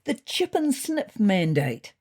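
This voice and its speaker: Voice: mid-range voice, wildly swooping pitch, takes it slowly, darkish tone, voice pushed up, full character voice. A performer voicing a self-important, eager cat politician in an audio storybook. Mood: grandly pleased. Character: pompous, eager young cat politician, newly made leader.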